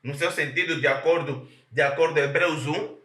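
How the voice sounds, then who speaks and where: Male pastor preaching, slightly high bright voice, emphatic, keeps repeating words. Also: fairly even intonation, normal speed, reverberant. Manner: emphatic, repeats words while searching for the next phrase. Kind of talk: sermon.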